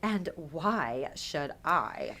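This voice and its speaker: A woman as a snooty, drawling, sharp-voiced pageant diva. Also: low voice, lively intonation, drawn-out words, full character voice. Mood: flirty contempt.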